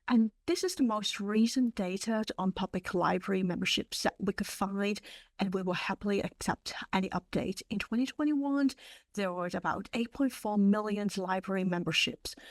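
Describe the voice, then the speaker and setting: A woman whose first language is Cantonese, presenting a library webinar in a measured way with frequent fillers. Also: medium pitch, no room sound. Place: online webinar.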